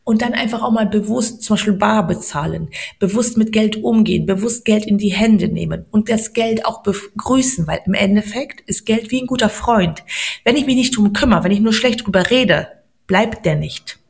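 Gravelly-voiced woman giving earnest money advice. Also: medium pitch. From Poland. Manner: earnest, encouraging, slows down to stress points. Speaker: podcast guest, money coach. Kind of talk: motivational podcast talk.

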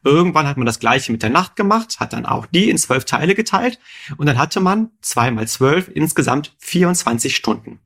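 Erklärender Podcast-Moderator, geduldig fragend. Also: male voice, a little high, very animated, normal speed, fairly bright tone. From Rhineland, Germany. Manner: patient, quizzes his co-host with questions. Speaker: podcast co-host explaining numbers. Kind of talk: explanatory conversational chat.

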